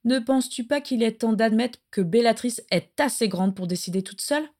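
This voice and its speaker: A woman voicing a condescending male dark lord who speaks softly and slowly with false courtesy, in a fantasy story reading. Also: a little high, expressive. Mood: mock courtesy. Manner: soft, caressing, slow, falsely courteous.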